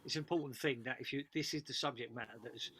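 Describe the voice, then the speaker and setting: A man's voice: medium pitch, rapid-fire, fairly bright tone, slightly rough. A man giving casual feedback as a script panellist, speaking emphatically and repeating phrases for stress.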